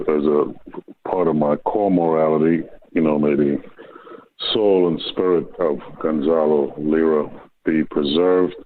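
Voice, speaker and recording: A man calling in to a talk show, speaking in a florid, rambling way with many fillers. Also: a bass voice. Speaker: caller. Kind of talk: phone-in commentary. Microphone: phone. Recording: tinny phone line with faint hiss.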